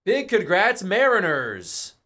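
A man's voice: high voice, takes it slowly. A young man hosting a live card break in a studio, calling out the cards he pulls in a casual, chatty way. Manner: casual, calls out cards, excited on big hits.

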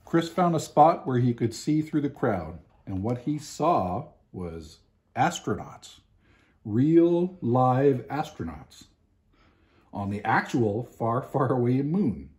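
A man, reading a children's picture book aloud expressively, with deliberate, emphatic pauses. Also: mid-range voice, sing-song, darkish tone. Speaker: storybook reader. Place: home living room, indoors.

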